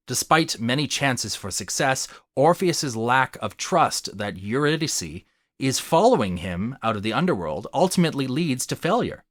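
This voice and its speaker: Male essay narrator, mid-pitched and animated, formal and earnest like a lecturer, recorded dry. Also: no room sound. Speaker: video essayist. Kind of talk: scripted argumentative narration.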